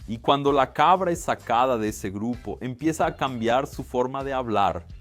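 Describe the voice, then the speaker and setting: A man hosting a casual science explainer, chatty and lively with quick exclamations. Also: mid-range voice, faint music behind. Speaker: science video host.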